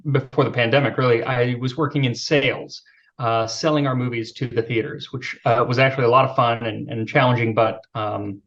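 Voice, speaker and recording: A man with a youthful-sounding voice talks as a podcast guest. His speech is relaxed and self-deprecating, with plenty of fillers. The recording sounds a little dull and lo-fi. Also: mid-range voice, fairly quick. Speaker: podcast guest, video creator. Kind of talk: casual interview chat.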